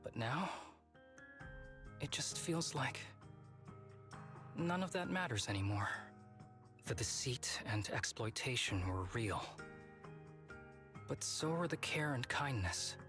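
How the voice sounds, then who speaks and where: A reflective young fighter living with illness in a dramatic video game. He speaks softly and without hurry, wistful and philosophical, with music clearly playing behind him. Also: mid-range voice, expressive, slow pace, long pauses. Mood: wistful, accepting.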